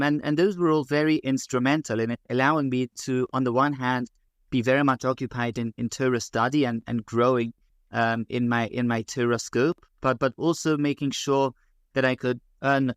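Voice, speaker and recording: A middle-aged man from London with a London English accent, speaking as a guest rabbi in a podcast interview, measured and full of fillers and restarts. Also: mid-range voice, clean tone, dry recording, studio quality. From England.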